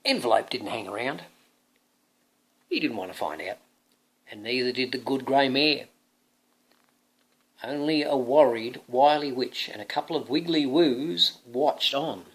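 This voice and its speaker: A man reading a children's storybook aloud in an animated, playful way, like a dad with questions for his young listener. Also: medium pitch, a little slow, pauses often, low-quality recording. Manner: dramatic, playful, often asking the listener questions. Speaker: father reading a picture book to his young son.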